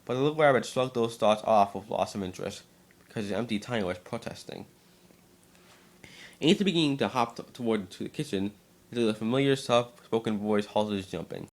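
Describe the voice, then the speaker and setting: A man's voice: on the low side, fairly quick. A man narrating a scripted story as an amateur dramatic reading, sometimes stumbling over and repeating words.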